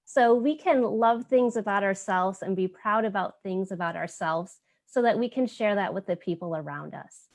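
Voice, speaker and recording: Woman doing the intro for a kids online storytime, explains stuff simply, normal middle pitch. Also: normal speed, few pauses. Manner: explanatory, addressing young children simply. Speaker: story time host. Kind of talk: spoken introduction for a children's virtual story time.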